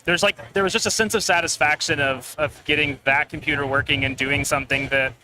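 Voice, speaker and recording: A young man answering interview questions in a relaxed way, often filling with "like". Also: a little high, a little fast, noisy background. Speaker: guest creator. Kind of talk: casual live interview. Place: busy expo floor. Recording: live expo crowd behind.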